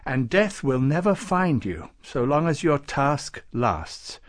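A man's voice: mid-range voice, sing-song, slow pace, voice pushed up. Man voicing a stately, solemn saint. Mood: stately, benevolent. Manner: stately, pronouncing formally. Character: solemn, kindly male saint presiding over council. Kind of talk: storybook character voice.

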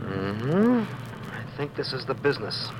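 An actor playing a short-tempered, bossy crook of a husband with an American accent in an old radio crime drama, curt and slangy. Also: male voice, mid-range voice, very animated, a little slow. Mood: cynical, curt. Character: bullying, cynical crook husband, hard-boiled and short-tempered. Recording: noisy old radio recording, car sounds.

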